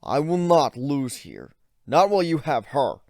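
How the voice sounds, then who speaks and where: Trembling, pitiful male mage, performed by a man, fantasy story, quick to anger, raised animated pitch, dry sound. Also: vocal fry, no room sound, exaggerated voice. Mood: desperate rage. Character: panicked, pleading male mage turning angry and threatening.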